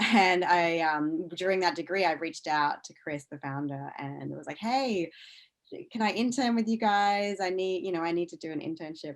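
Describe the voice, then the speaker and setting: An Australian woman giving casual, informal interview answers, chatty and often restarting her sentences with plenty of "like". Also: sits low. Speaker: interview guest, science news CEO.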